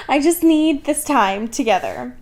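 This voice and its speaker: A woman's voice: high-pitched, sing-song, slow, voice pushed up, exaggerated voice. A woman playing a fussy, sentimental husband in a whiny, wounded, dramatic manner for a comic retelling. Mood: tearful, pleading. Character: fussy, sentimental husband, a melodramatic perfect housewife.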